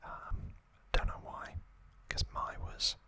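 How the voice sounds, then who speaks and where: A man whispers softly as an ASMR host, with no voice behind the breath. The sound is bright and crisp in its hiss, and the delivery is very unhurried, breaking into short phrases. He recaps trivia informally, with halting little asides. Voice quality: soft, breathy unvoiced whisper.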